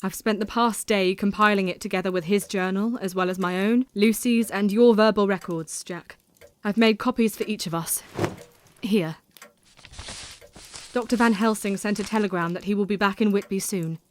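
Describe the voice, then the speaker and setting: A woman's voice: medium pitch, steady tone, normal speed, natural voice. Earnest young Victorian woman played by an actress. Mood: determined. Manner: earnest, formal phrasing. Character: Mina, resolute grieving young Victorian Englishwoman. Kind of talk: audio drama acting.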